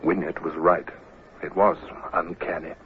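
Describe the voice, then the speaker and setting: A low-pitched British naval captain of the Napoleonic era, anxious yet decisive. He speaks in clipped orders and hesitant asides. The old radio drama recording is dull and full of noise. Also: little pitch movement, unhurried. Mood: worried, musing.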